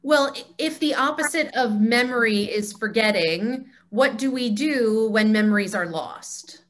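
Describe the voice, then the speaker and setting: Higher-voiced woman leading a group discussion. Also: measured pace. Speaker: cantor, discussion leader. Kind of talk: conversational facilitation. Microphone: video call.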